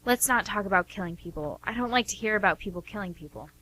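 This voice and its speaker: A woman's voice: medium pitch, animated, fast talker, bright, forceful tone, a little hiss or hum, low-quality recording. A woman playing a caring, easily offended sister, earnest and reproachful, in an amateur radio play. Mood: concerned, reproachful.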